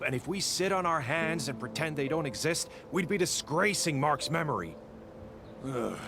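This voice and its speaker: A man voice-acting a passionate, principled survivor in a video game, with a gravelly voice over music. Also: medium pitch, close to an everyday voice. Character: passionate, principled male survivor rallying his comrades. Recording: music behind the voice.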